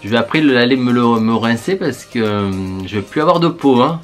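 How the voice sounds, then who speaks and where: A man's voice: mid-range voice, expressive, a little fast, faint music behind. A middle-aged man from the south of France with a southern French accent, chatting casually to camera as a beauty vlogger, hesitant and full of fillers. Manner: hesitant, many fillers and restarts.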